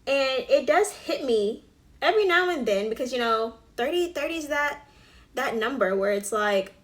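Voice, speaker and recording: Young woman, mid-twenties, a touch high-voiced, chatty get-ready-with-me vlogger full of fillers and asides. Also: expressive, normal speed, fairly bright tone. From Alabama. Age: 20s. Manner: chatty, filler-heavy, drops to whispered asides. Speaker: beauty vlogger. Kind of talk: casual get-ready-with-me chat.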